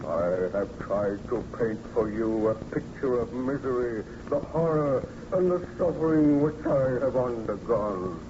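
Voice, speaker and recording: An actor playing a hideous outcast monster, pleading and menacing in a solemn, declamatory old radio horror style. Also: male voice, mid-range voice, animated, close to an everyday voice. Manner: solemn, declamatory, drawn-out phrasing. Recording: noisy, muffled old radio recording.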